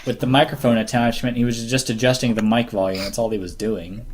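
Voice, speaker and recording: A man co-hosting a casual group podcast, talking haltingly as he searches for words. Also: mid-range voice, little pitch movement, a crowd in the background. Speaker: co-host of a fan podcast. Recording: clear background noise and clicks.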